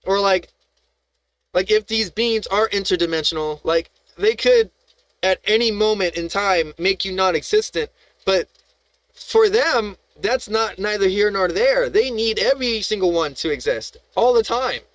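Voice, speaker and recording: A man's voice: high-pitched, unhurried, fairly bright tone, dry recording. A man hosting a live stream, ranting in a heated, profane, rambling way, full of restarts and rhetorical questions.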